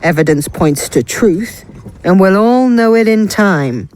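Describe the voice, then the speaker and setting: A woman's voice: medium pitch, animated, a little slow, a little mellow, natural voice. A woman playing an inquisitive, stubborn warrior in a fantasy audio drama, questioning and confident.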